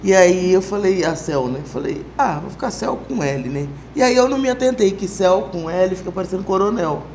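Brazilian woman chatting, medium pitch. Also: lively intonation, fairly quick, darkish tone, a little hiss or hum. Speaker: guest, samba singer. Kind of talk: casual anecdotal chat. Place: small wood-panelled TV studio, indoors.

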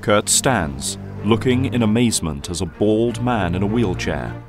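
A man's voice: lowish voice, animated, unhurried, music in the background. A man giving dramatic scripted narration as the narrator of a story.

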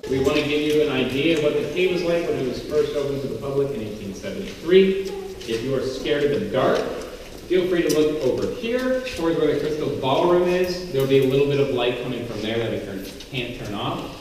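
A man's voice: mid-range voice, expressive, a little fast, a little mellow. A man guiding a tour group through a cave, giving instructions and commentary, recorded on a visitor's camera from a distance. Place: inside a show cave. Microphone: distant handheld camera. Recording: very echoey cave, far camera, noisy.

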